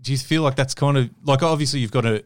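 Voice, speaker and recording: Halting podcast host. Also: male voice, mid-range voice, no room sound, clean studio sound. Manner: halting, repeats words while forming questions. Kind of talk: casual interview chat.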